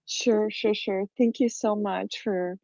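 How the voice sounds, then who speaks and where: A middle-aged woman from Peru, a beauty brand founder, talking in a reflective, unhurried way with many fillers. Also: mid-range voice, steady tone, creaky, a little mellow, no echo. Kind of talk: conversational interview on a live audio chat. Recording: dull lo-fi online audio call.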